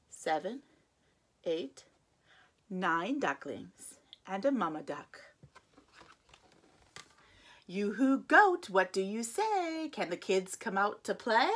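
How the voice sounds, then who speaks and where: A woman preschool teacher reading a picture book aloud to young children, with a playful sing-song delivery, gasps and slow counting. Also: medium pitch, fairly bright tone.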